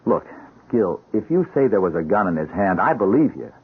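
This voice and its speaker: A man's voice: low voice, big pitch swings, brisk, breathy edge, heavily acted. An actor playing an uneasy, apologetic policeman with a hesitant, earnest way of talking in a radio drama. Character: uneasy, apologetic policeman, guilty loyal buddy. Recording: muffled, band-limited radio drama recording.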